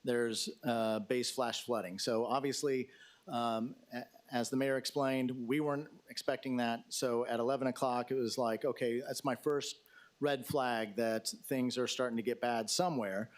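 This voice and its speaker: Emergency official, male, mid-pitch, halting delivery, fillers and restarts, formal "sir". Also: fairly even intonation. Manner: hesitant, many fillers and restarts; addresses questioner as sir. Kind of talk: answering questions at a council briefing.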